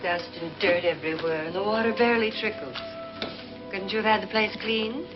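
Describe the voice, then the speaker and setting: An actress playing a cool, disdainful, sharp-tongued wife in a 1950s horror film, speaking in a clipped, cutting way. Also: female voice, mid-range voice, steady tone, brisk, over loud music, natural voice. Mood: irritated. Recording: dull, noisy vintage film soundtrack.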